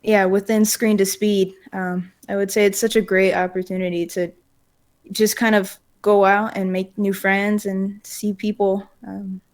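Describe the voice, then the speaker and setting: A woman's voice: medium pitch, level tone, measured pace. Agreeable young woman interview guest. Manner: agreeable, backchannels often, uses "um" and "I would say". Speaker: guest, sim racer. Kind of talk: casual interview chat.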